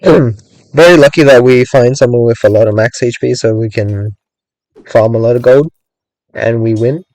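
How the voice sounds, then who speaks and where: A young man giving casual, rambling commentary on his own gameplay, thinking aloud with lots of "like"s and a voice gone a little raspy from hours of talking. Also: medium pitch, moderate pace, a little mellow, no room sound, low-quality recording. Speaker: gaming streamer.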